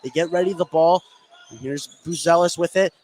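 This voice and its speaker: A man's voice: a little high, normal speed, a little mellow. An American man doing live play-by-play commentary of a basketball game. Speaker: play-by-play announcer. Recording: clear gym noise behind live commentary. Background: noisy basketball gym.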